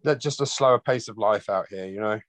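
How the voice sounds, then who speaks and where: Low-voiced, laid-back man in a dry recording. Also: brisk, no echo. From London, United Kingdom. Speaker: interview guest, rock bassist. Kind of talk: casual chat.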